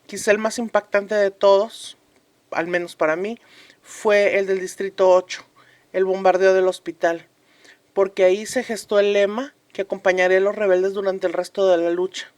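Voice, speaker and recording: An earnest actress playing a woman commentator in a scripted radio drama about a fictional republic. She speaks solemnly and with emphasis, repeating phrases deliberately as she reflects on history. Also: mid-range voice, flat delivery, darkish tone, natural voice. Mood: earnest, reflective.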